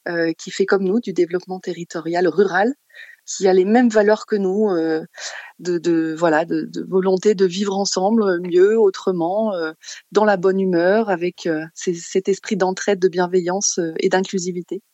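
A woman's voice: fairly low pitch, steady tone, warm tone, no room sound, dull audio. A woman with an accent from France giving spontaneous interview answers as a guest, searching for words and restarting phrases. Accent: French from France. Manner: halting, searching for words, repeating phrase starts. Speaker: interview guest, community association organiser.

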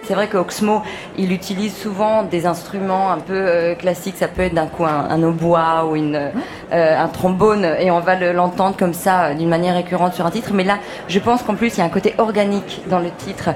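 A woman's voice: lowish voice, fairly even intonation, moderate pace. A woman giving casual, reflective commentary on music, sometimes searching for words. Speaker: guest music programmer. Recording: crowd sounds behind the voice.

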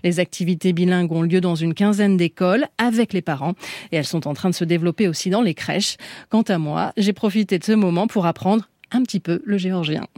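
A woman radio reporter reading a scripted report with clear, measured delivery into a studio microphone. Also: fairly low pitch, dark tone, no room sound.

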